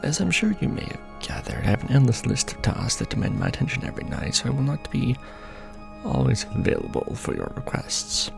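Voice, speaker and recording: A man playing an ancient, world-weary vampire lord in an intimate ASMR roleplay, speaking formally and grandly in a breathy near-whisper with soft menace. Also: mid-range voice, wildly swooping pitch, a little fast, exaggerated voice. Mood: courteous, hospitable. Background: music.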